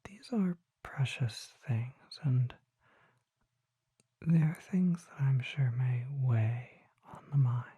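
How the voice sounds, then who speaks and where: A performer voicing a mysterious, gentle and solemn vampire apothecary in a breathy, hushed whisper, with formal, deliberate phrasing for an ASMR roleplay. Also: medium pitch, no room sound, highs cut off.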